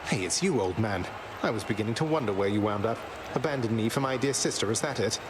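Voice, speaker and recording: An actor playing a wry young underworld prince in a video game, breezy and teasing as a brother. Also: male voice, lowish voice, fast talker, background noise, lightly acted. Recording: loud music and ambience behind.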